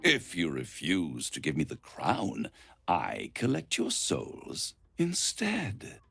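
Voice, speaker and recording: A suave game devil, very low and gravelly. He savours his bargains in a silky, grandiose manner that swoops from menace to gloating theatrics, all over a musical score. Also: huge pitch swings, slow, a bit bright, soft background music, heavily acted. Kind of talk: dramatic video game acting.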